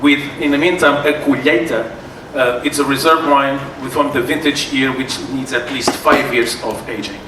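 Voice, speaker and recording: Brief: Portuguese-accented man presenting a seminar, halting delivery full of restarts, heard from a distance in a roomy hall with background noise. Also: mid-range voice, fairly even intonation. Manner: halting, many fillers and restarts. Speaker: sommelier giving a wine seminar. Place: event hall with tasting tables. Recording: echoey room, far from mic, noisy.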